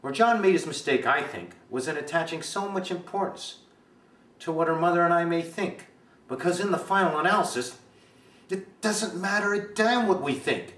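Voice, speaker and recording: A man playing an impassioned aging father in a dramatic film monologue, speaking with deliberate, emphatic oratory. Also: fairly high pitch, expressive, fairly bright tone, pitched above the performer's own voice. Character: aging father, earnest and impassioned, defending young love. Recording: echoey room, distant mic, faint noise.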